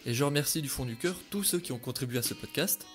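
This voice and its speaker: A man telling a scripted story with expressive, dramatic delivery at a middle pitch. Music plays clearly beneath his voice. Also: fast. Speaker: podcast creator and narrator. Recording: music playing behind.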